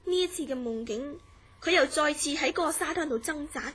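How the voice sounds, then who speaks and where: An actress with a Hong Kong Cantonese accent playing an earnest woman who recounts a friend's eerie dreams in a radio drama, in measured, serious storytelling. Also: high voice, a bit bright, a little hiss or hum. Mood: grave recounting.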